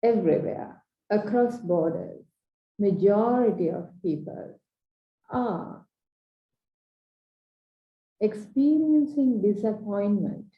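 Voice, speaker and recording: A woman from Sri Lanka with a Sri Lankan English accent, giving an earnest, measured coaching talk in short, paused phrases. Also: mid-range voice. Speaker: transformational coach. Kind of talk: slow reflective training talk. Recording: dull echoey room recording.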